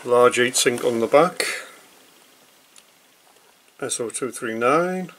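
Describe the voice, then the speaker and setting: A man giving casual, hesitant unboxing commentary in short pieces with long pauses. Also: mid-range voice, a bit bright. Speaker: hobbyist product reviewer.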